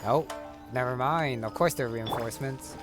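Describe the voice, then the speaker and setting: Low-key man over loud game music. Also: normal speed. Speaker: gamer commenting on his playthrough. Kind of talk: casual gameplay commentary. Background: game music, combat crashes.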